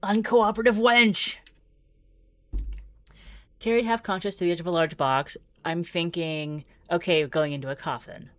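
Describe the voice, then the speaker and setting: A woman's voice: fairly low pitch, fairly even intonation, normal speed, pauses often, fairly bright tone, no echo. A woman streaming a visual novel, moving between casual, rambling commentary and dramatic read-aloud narration.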